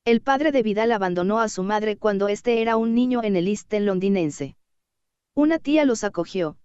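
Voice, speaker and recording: A woman narrating an audiobook in a steady, even reading voice. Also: mid-range voice, clean tone, no echo, lo-fi sound.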